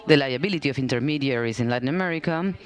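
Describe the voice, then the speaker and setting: A woman's voice: very deep voice, very fast. A woman who works as a company policy manager, speaking on a conference panel in a conversational way, full of fillers like "like" and "you know". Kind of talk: panel discussion talk.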